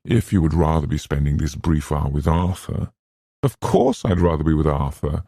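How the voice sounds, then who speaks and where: A man's voice: a bass voice, animated, moderate pace, vocal fry, dark tone, no echo, clean studio sound, heavily acted. A man voicing a playful young woman in a storybook, courteous and lightly mocking, in a polite conversational manner. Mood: teasing.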